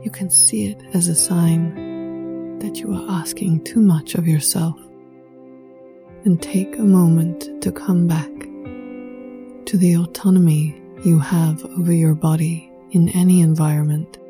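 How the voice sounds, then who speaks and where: A woman leading a guided meditation in a soft, breathy voice that often sinks near a whisper, hushed, soothing and unhurried, with poetic scripted phrasing. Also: on the low side, fairly even intonation. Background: music.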